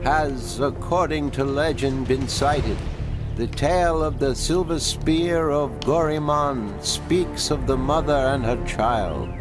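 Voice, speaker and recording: A man playing a slow-spoken, solemn ancient sage. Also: mid-range voice, music in the background, close to an everyday voice. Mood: grave, informative. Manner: formal, deliberate, solemn storytelling. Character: ancient, wise, measured male being of a magical people. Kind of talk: fantasy game character dialogue.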